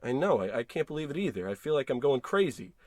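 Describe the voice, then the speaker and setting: An actor playing an excitable, fretful husband, theatrical and folksy, in a 1940s-style radio comedy. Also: male voice, mid-range voice, fast, no room sound, clean studio sound, natural voice. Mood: baffled, insistent.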